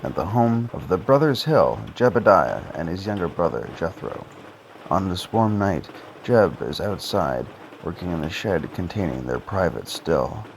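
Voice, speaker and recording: A man's voice: deep voice, very animated, measured pace, gravelly, a little hiss or hum, poor audio. A man reading scripted storytelling narration for an audio drama as its narrator.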